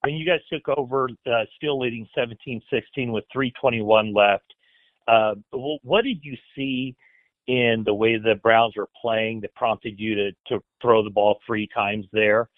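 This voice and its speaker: A man's voice: medium pitch, fairly even intonation, measured pace, no echo. A man working as a sports reporter, putting questions on a press call in a hesitant, stop-start way. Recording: dry phone-like conference call line.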